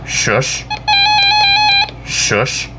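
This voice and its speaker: A young American man giving a casual, off-the-cuff show-and-tell, talking in a halting, rambling way and often correcting himself. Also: over loud music. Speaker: hobbyist video creator. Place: indoors in a house. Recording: noisy room with air-conditioner hum.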